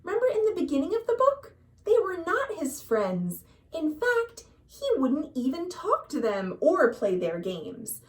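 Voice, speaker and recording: A woman reading a picture book aloud to children as a storytime host, expressive and deliberate. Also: sits high, recorded from a distance. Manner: dramatic, deliberate, addresses young listeners. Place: at home.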